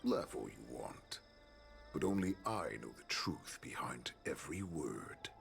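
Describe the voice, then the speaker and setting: A man voicing an ancient captive wolf-beast warlord in a video game, growling with slow, taunting, theatrical menace. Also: a bass voice, sing-song, faint music behind, full character voice. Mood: threatening. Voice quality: gravelly, growling. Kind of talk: dramatic video game voice acting.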